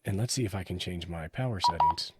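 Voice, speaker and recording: A man's voice: low voice, a little fast, very noisy. A man giving a casual hands-on product review, explaining each step as he goes. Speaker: radio hobbyist reviewer, host.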